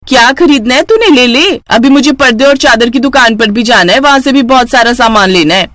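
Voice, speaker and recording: A woman's voice: a little high, a little fast, a bit bright, dry recording, close to an everyday voice. A woman voicing a haughty, boastful rich elder sister who bosses people around in a storybook tale. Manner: boastful, ordering, dismissive.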